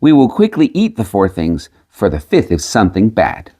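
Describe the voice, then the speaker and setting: A man voicing a sly peasant trickster in a storybook, with a measured, cunning delivery. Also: on the low side, huge pitch swings, warm tone, full character voice. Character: sly male peasant trickster selling prophecies.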